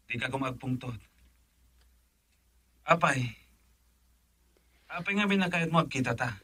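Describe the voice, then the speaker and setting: A man's voice: medium pitch, expressive, measured pace, bright, forceful tone, slightly rough, heavily acted. An actor playing an eager, teasing man in radio drama dialogue.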